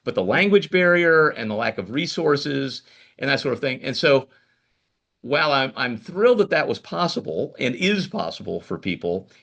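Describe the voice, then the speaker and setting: A man's voice: mid-range voice, big pitch swings, moderate pace, creaky, fairly bright tone. A man hosting a podcast interview, chatting casually and rambling with many restarts. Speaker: podcast host.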